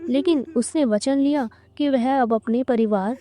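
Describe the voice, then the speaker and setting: Higher-pitched, mellow woman storyteller. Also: measured pace. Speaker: narrator. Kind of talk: scripted storytelling narration for a cartoon.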